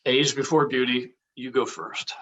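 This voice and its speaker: A man acts a casual, gossipy guy on a film set in a scripted read. His mid-pitched voice is husky, and his manner is wry and a little uneasy. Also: steady tone, measured pace, a bit bright, lo-fi sound. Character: gossipy, uneasy male hockey film cast member.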